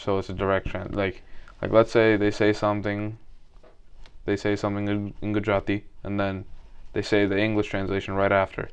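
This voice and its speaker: A man's voice: fairly low pitch, clean tone. A young man co-hosting a casual podcast, telling long rambling stories full of fillers. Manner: rambling, drawn-out storytelling with many fillers. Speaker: podcast co-host. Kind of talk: casual chat.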